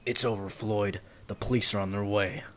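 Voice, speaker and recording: A man playing a brooding, threatening masked vigilante in an amateur superhero radio play, with a low gravelly voice. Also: fairly even intonation, moderate pace, heavy background noise, lightly acted.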